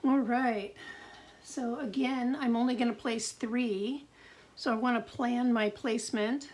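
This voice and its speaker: A middle-aged woman with a gravelly voice, chatting and thinking aloud through a live card-making demo at her craft desk. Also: on the high side, steady tone, a little slow, darkish tone, low-quality recording.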